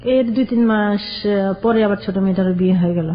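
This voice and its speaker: An older woman tells her own story on a radio program. She speaks plainly and sometimes pauses to collect her thoughts. The recording sounds dull and lo-fi. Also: mid-range voice. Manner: plain, halting recollection. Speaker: caller guest. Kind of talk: personal storytelling.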